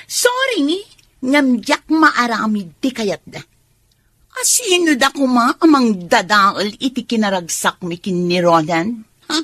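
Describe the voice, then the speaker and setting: A woman playing a defiant, selfish mistress in a radio drama, with a husky voice and haughty, drawn-out dramatic delivery. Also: a little high, very animated, a bit bright, dry recording, heavily acted. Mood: haughty, unrepentant. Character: defiant, selfish mistress clinging to a married man.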